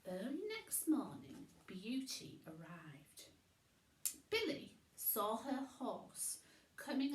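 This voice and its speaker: A woman reading a children's bedtime story in a theatrical way, with dramatic pauses. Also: medium pitch, a bit bright, echoey room, poor audio.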